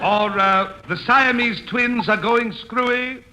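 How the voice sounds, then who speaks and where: An actor playing a pompous, hammy poet who declaims grandly in a 1940s radio comedy. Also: male voice, extremely high pitch, slow. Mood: declaiming. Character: pompous hammy poet reciting corny verse. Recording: noisy 1940s radio broadcast.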